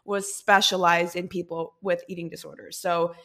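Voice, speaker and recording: Chatty woman podcast host, slightly low voice. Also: flat delivery, moderate pace, a bit bright. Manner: chatty, rambling, lots of fillers. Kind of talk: casual podcast conversation.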